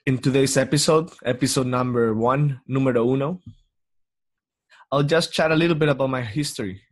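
Reflective young man hosting a podcast. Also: mid-range voice. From Mexico. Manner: slow, reflective, with many pauses and ums. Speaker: podcast host. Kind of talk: solo podcast monologue.

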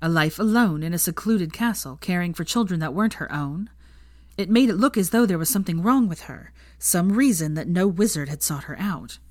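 A woman's voice: lowish voice, expressive, brisk, a little mellow, no room sound, studio quality. A woman reading fan fiction aloud as scripted story narration.

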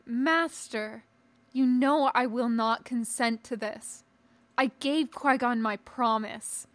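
A woman's voice: on the high side, no echo. A woman voicing a principled, resolute male knight and teacher in an audiobook, speaking formally and firmly. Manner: formal, measured, firm.

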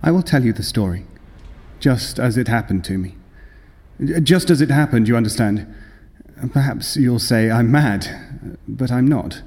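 A man's voice: mid-range voice, lively intonation, a little mellow, slightly rough. An actor playing a nervous, obsessive madman confessing a murderous plot, insistent and intense, in old-time radio horror style. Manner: insistent, intense, deliberate self-justifying delivery. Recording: long reverb, music behind.

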